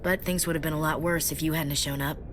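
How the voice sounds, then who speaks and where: An actress playing an earnest young marine in a video game, sincere and plain-spoken. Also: female voice, low-pitched, steady tone, fast talker, a little hiss or hum, natural voice. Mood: somber. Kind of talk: dramatic video game dialogue.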